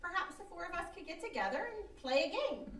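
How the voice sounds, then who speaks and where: A very high, animated voice in a roomy stage recording, a fussy older neighbor lady, acted by a woman. She is chatty and insistent in a radio-comedy style. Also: fast, exaggerated voice. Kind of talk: old-time radio comedy acting before an audience. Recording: roomy live stage, distant microphone.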